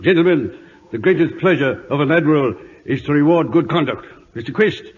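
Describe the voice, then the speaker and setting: An actor playing a gruff, blustery older British admiral with a husky voice, in old-time radio drama style. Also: male voice, on the high side, lively intonation, a little fast, lightly acted. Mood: gruff, fond. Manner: blustering, emphatic. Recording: muffled old radio broadcast, reverberant.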